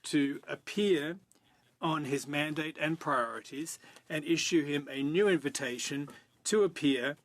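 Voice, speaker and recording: Higher-pitched man formally reading a motion. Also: a little slow. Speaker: committee member. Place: committee meeting room.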